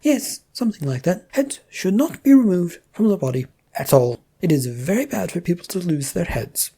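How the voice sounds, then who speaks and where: Lady doing a flustered self-important king for a story, dithery, higher voice, kinda husky. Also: very animated, moderate pace, mellow, exaggerated voice. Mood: fretful, self-important. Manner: blustering, dithering. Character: flustered, pompous, fretful male king, self-absorbed bureaucrat. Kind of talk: audio storybook character voice.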